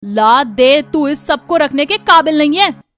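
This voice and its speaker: A woman's voice: sits high, expressive, a little slow, fairly bright tone. A woman playing a greedy, scolding, spiteful step-relative who bullies in a cartoon story. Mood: threatening. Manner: scolding, threatening. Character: greedy, bullying stepmother and stepsister type, spiteful. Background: music.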